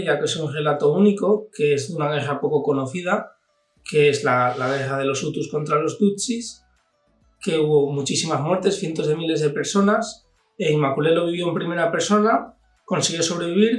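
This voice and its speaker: A man's voice: a little high, fairly quick. A man with an accent from Spain, giving an informal book review as a channel host. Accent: Spanish from Spain. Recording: echoey room, distant mic, music behind.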